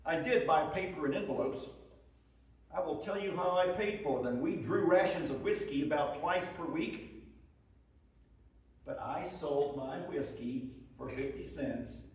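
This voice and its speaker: A man giving a dramatic reading as a proud, weary Civil War soldier, in measured short phrases, writing letters home. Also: on the high side, natural voice. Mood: matter-of-fact, confident. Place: echoey room at a live presentation. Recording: echoey hall, far from the microphone.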